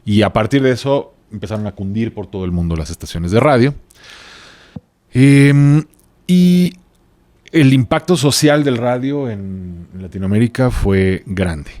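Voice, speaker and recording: A man's voice: mid-range voice, measured pace, a little mellow, dry recording. A man co-presenting a podcast, explaining things in a casual, joking, informal way.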